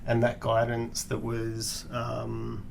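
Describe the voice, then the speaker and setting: A man from Australia, a guest on an interview podcast, explaining his background in a measured way with frequent restarts. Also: mid-range voice, monotone, vocal fry, a little mellow, a little hiss or hum.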